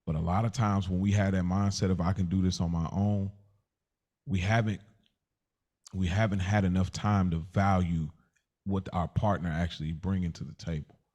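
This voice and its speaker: A man's voice: low-pitched, fairly even intonation, moderate pace, a little mellow, dull audio. An American man co-hosting a podcast, chatting casually and reflectively, slangy and affirming as he circles around his point. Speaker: podcast co-host.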